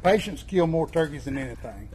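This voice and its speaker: A man's voice: fairly high pitch, lively intonation, a little fast, a loud crowd. An older man with a rural Tennessee Southern accent, a guest outdoorsman telling hunting advice and stories in a plain-spoken, folksy way. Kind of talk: casual storytelling chat.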